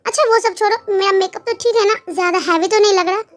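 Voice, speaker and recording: A woman's voice: extremely high pitch, fairly even intonation, fast, pitched above the performer's own voice. A woman voicing a jealous, sulky young wife in a romance story, snapping and sarcastic. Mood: questioning.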